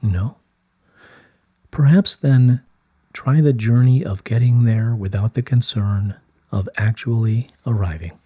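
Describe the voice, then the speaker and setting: A man giving a slow scripted reading of spiritual guidance in a solemn, measured, soothing way, with a soft, breathy voice. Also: mid-range voice, no echo, clean studio sound. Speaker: reader of channelled spiritual messages.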